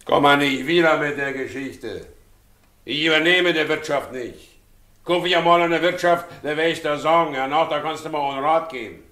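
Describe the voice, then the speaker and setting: A roomy 1960s radio play recording of an aging carter. He is stubborn, rustic and blunt, quick to anger, in a fairly high man's voice. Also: bright, forceful tone. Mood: gruff, defiant. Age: middle-aged. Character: naive aging Silesian carter, wounded, stubborn, flaring into anger. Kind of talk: 1960s German radio drama acting.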